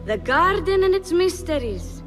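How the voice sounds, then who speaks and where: Young palace intriguer for a game drama: high-pitched, coy, slyly theatrical, devoted to her mistress. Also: very animated, unhurried, music in the background, exaggerated voice. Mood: cold, scheming. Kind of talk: video game cutscene acting.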